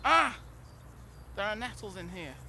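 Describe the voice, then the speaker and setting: A man plays a put-upon young lad in an audio drama, questioning everything with dry grumbles. His voice sits a little high, over faint background noise. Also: sing-song, a little slow, vocal fry, sharp tone, exaggerated voice. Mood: grumbling, wary. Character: sceptical, put-upon young male schoolmate.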